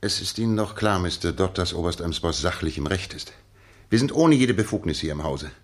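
An actor playing a calm, authoritative gentleman detective, speaking in a measured, precise, courteous way with a husky voice in a 1960s German radio play. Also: deep voice. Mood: measured, firm.

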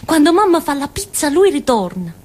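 High, swooping, childlike Calabrian simpleton. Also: female voice, very animated, a little mellow. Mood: wistful. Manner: simple, repetitive, halting, with questioning tags and giggles. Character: childlike, naive village simpleton girl, earnest and excitable. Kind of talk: theatrical monologue in colloquial dialect-tinged Italian.

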